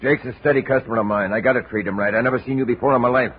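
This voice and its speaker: An actor playing a nervous, evasive bartender in an old radio drama. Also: male voice, mid-range voice, fairly even intonation, racing, breathy edge, natural voice. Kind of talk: 1950 radio drama acting. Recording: tinny old radio broadcast.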